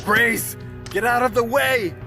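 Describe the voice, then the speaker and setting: A man's voice: very high voice, steady tone, slow, vocal fry, over music, exaggerated voice. A man playing an alarmed action hero in a radio play, calling out short warnings.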